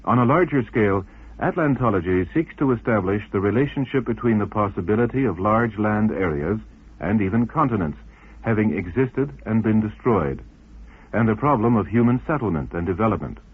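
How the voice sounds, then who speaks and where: Male presenter, formal scripted read, lowish raspy-edged voice, muffled lo-fi sound. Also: animated, a little fast. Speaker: narrator and presenter. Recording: dull lo-fi radio recording.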